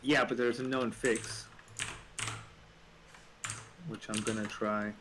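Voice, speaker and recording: A man's voice: lowish voice, little pitch movement, slow. A man in casual, informal conversation, given to swearing. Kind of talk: casual chat. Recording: faint noise, keyboard clicks. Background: typing and clicks.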